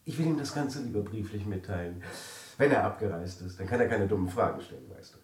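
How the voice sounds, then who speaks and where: An actor in a German radio drama playing a smooth-talking, evasive, scheming husband. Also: male voice, fairly low pitch, lively intonation, fast, lightly acted. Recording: roomy, distant, loud piano music.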